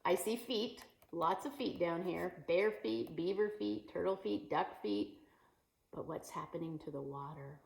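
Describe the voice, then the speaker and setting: A woman teacher reading a picture book aloud to children in an expressive, animated way, asking her young listeners questions. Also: on the low side, measured pace, gravelly, rough recording. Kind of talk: animated children's story time read-aloud.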